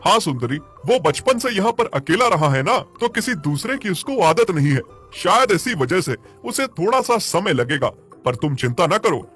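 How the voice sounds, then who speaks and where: A man as a kindly, husky-voiced villager. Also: high-pitched, big pitch swings, normal speed, few pauses, a bit bright, soft background music, pitched above the performer's own voice, exaggerated voice. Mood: reassuring. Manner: earnest, reassuring. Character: kind, principled village man who cares for animals. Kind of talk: animated moral story dialogue.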